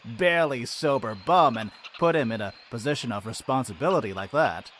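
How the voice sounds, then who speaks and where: A man's voice: mid-range voice, expressive, fairly quick, a bit bright, a little hiss or hum, lightly acted. A man playing a sardonic, irreverent adventurer in a video game, with a laid-back, sarcastic delivery. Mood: flippant. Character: sardonic, irreverent, self-deprecating male adventurer. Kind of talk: English video game cutscene acting.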